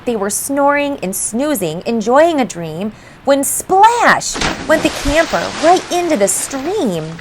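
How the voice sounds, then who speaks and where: A woman reading a rhyming picture book aloud for children in an expressive, measured storytelling style. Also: sits high, slight background noise. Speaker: storybook reader. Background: faint background music.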